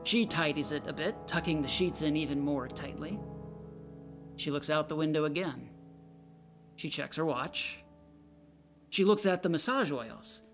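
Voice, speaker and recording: A woman's voice: low-pitched, unhurried, long pauses, faint music behind. A woman reading a play's character notes and stage directions as scripted narration.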